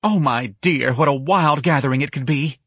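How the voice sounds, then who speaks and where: A man voicing an effeminate young man, breathy, gushing and dramatic, as a character in an audiobook. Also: medium pitch, sing-song, normal speed, fairly bright tone, no room sound, studio quality, pitched above the performer's own voice. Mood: gushing, delighted.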